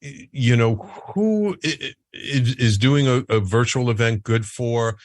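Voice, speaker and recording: A man hosting a talk show, animated, with frequent stammers and restarts. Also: medium pitch, unhurried, no echo. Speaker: host.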